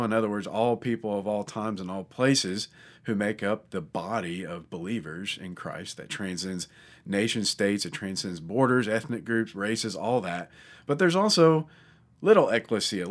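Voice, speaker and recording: A man with a fairly low voice, his throat scratchy from allergies. He teaches casually on a podcast, talking loosely and often backing up to restart a phrase. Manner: conversational, with frequent restarts and fillers. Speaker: pastor and podcast host.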